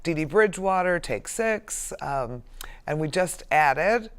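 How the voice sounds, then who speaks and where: A woman's voice: deep voice, animated, slow pace, smooth. A woman hosting a show, speaking in an appreciative, encouraging way as she interviews and announces events. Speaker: show host.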